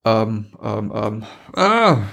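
A man's voice: lowish voice, sing-song, extremely slow, gravelly, a bit bright. A man with an Austrian accent chatting casually as a podcast co-host, rambling and repeating his words as he goes. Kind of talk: casual chat.

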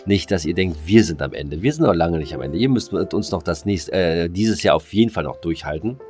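A man's voice: deep voice, lively intonation, a little fast, darkish tone, faint music behind. A man co-hosting a casual podcast, playful and sometimes mock-solemn. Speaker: podcast co-host. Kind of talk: casual chat.

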